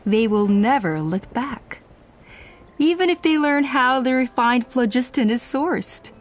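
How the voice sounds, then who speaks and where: An actress playing a proud, self-righteous clan elder woman in a video game, speaking in measured, formal, persuasive speeches. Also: fairly high pitch, expressive. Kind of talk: scripted video game voice acting. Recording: music under the voice.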